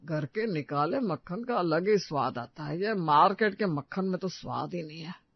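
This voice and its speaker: A man acts out an older Indian grandfather in a cartoon family tale. His voice is high for a man, stubborn and full of grumbles. The recording is very dry and a bit muffled. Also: animated, moderate pace, breathy edge, no echo. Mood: grumbling. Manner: complaining, stubborn.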